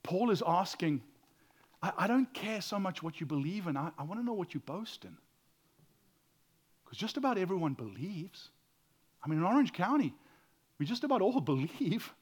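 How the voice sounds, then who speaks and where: A man with a loud voice preaching a sermon as pastor, swinging between hushed whispers and loud exclaiming, often stammering and repeating words. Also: sits high, big pitch swings, moderate pace, pauses often, slightly rough.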